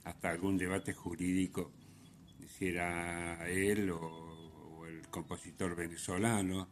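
A man hosting a radio music show, giving unscripted commentary in a halting way, often hesitating and correcting himself. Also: deep voice, little pitch movement, a little hiss or hum.

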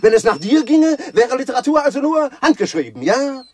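Ein Schauspieler spielt in einem deutschen Hörspiel einen eitlen, reizbaren Schriftsteller, pompös und theatralisch, mit belegter Stimme. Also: male voice, very high-pitched, very animated, a little fast, a little mellow, full character voice. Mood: indignant. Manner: pompous, theatrical, swinging from muttering to declaiming. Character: vain, irritable, self-important male novelist. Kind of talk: German radio play acting.

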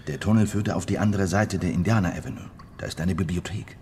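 A man's voice: low voice, fast, a little mellow, a little hiss or hum, natural voice. A man playing a confident criminal planning a heist in a radio crime drama, explaining in an assured, matter-of-fact way.

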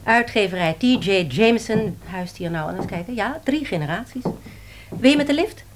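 An actress in a Dutch radio play, playing a businesslike woman publisher who coaxes an author with brisk insistence. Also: medium pitch, lively intonation, background noise, natural voice. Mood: chatty, inviting.

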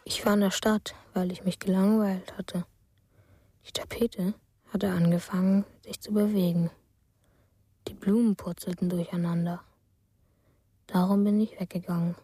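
A woman voicing a dreamy, withdrawn child in a radio drama monologue, soft and half-whispered, in simple detached sentences. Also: fairly low pitch, level tone, unhurried, pauses often, husky, dry recording, clean studio sound. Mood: dreamy, hushed.

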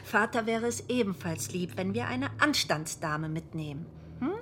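A woman's voice: mid-range voice, animated, moderate pace, a bit bright, slight background noise, natural voice. An actress playing a confident, witty young woman in a radio drama, teasing and self-assured.

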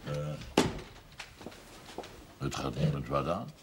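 A man's voice: extremely low pitch, big pitch swings, slow pace, heavily acted. A man playing a proud, hot-headed farmer with a folksy, roundabout, emphatic way of talking in a period television drama. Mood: careful, persuading. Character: proud, hot-headed farmer with seven sons, scheming neighbour. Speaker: actor in a television drama series. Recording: noisy old television soundtrack.

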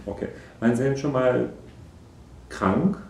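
A man playing a matter-of-fact doctor who questions a patient deliberately and haltingly in a naturalistic radio drama. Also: mid-range voice, creaky, a little mellow, echoey room, slight background noise. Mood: formal, probing.

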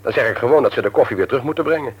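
An actor in a Dutch radio play, playing a self-pitying, self-satisfied husband and office boss with a husky voice. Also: male voice, medium pitch, very fast, lo-fi sound, lightly acted.

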